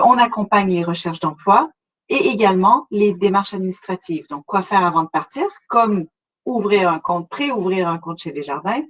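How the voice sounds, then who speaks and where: Woman, Québécois accent, middle-aged, webinar presenter, conversational, pauses with fillers. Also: medium pitch. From Montreal, Canada. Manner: conversational, addresses listeners directly, frequent hesitation fillers. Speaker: webinar presenter, relocation consultant.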